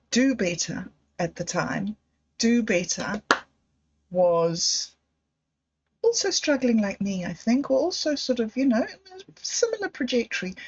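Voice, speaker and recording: A woman's voice: medium pitch, dull audio. A woman vlogger talking through her thoughts in a reflective, digressive way, given to self-mocking laughter and close to happy tears. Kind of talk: reflective personal vlog monologue.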